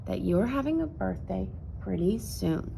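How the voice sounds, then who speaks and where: A woman's voice: medium pitch, animated, unhurried, creaky, dark tone, a little hiss or hum, low-quality recording. A woman voicing an enthusiastic, indulgent father bear in a children's storybook, breezy and carefree. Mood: reassuring.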